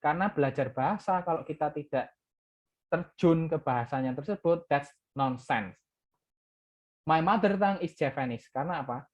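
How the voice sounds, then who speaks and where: A man's voice: on the high side, brisk, dull audio. A man from Java with an Indonesian accent and Javanese as his first language, lecturing an English class and switching between English and Indonesian, repeating key words with "ya".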